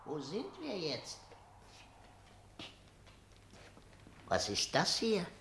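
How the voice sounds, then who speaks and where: An actor playing a cruel, bitter little companion to an emperor in a radio play, mocking and theatrical. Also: male voice, on the high side, huge pitch swings, a little slow, vocal fry, noisy background, heavily acted. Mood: furtive. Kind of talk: 1950s German radio play acting.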